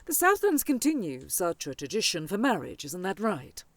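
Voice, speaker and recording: A woman's voice: mid-range voice, wildly swooping pitch, moderate pace, dry recording. A woman playing a proud older dragonfolk scholar in a fantasy audio drama, grandly formal and haughty. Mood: stately, helpful.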